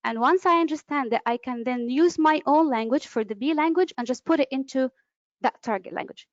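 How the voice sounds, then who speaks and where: A woman's voice: on the high side, no room sound. Woman giving a webinar lecture. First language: Arabic. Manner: explanatory, with frequent fillers and restarts. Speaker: webinar presenter, interpreter. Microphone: computer mic, online webinar. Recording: dull, lo-fi webinar audio.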